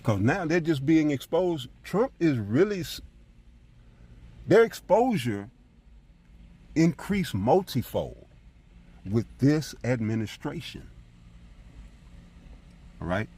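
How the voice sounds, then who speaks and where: A man with a husky voice teaching scripture as a preacher, in an emphatic, colloquial style full of rhetorical check-ins. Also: a little high, sing-song, takes it slowly, pauses often, dark tone. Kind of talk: fiery informal Bible teaching with commentary on scripture readings.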